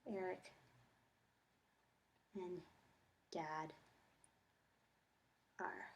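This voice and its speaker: A woman's voice: fairly low pitch, fairly even intonation, drawn-out words, creaky, slight background noise. A woman teacher giving a think-aloud reading lesson, reading and commenting reflectively, talking her thoughts through step by step.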